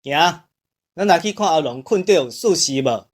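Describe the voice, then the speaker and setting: A man acts as an old Taiwanese country grandfather in a radio play. He is forceful and decisive, with a carefree way of settling things. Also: a little high, expressive, normal speed. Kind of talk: radio drama acting.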